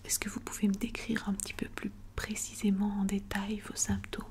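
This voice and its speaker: Woman, ASMR doctor roleplay. Whispered, breathy and even, with a gentle bedside manner. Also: medium pitch, moderate pace.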